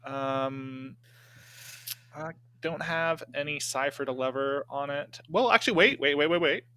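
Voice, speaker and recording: A man's voice: on the high side, a little slow, bright, forceful tone, smooth. A man playing a tabletop game, chatting casually and hesitantly as he thinks aloud.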